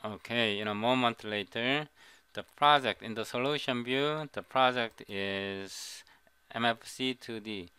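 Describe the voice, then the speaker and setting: Korean-born middle-aged man, slow and halting, bright clear voice, dry close sound. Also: medium pitch, dry recording. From South Korea. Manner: halting, repeats and restarts words. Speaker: programming tutor. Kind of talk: screen-recorded coding tutorial.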